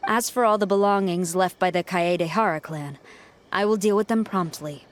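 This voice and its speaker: An actress playing a stern, dutiful military officer in a video game, formal, composed and businesslike. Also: female voice, on the low side, moderate pace, slight background noise, close to an everyday voice.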